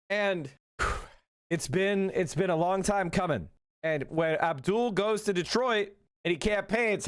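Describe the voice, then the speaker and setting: A man hosting a political livestream, talking excitedly and profanely in a rambling, casual way. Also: sits high.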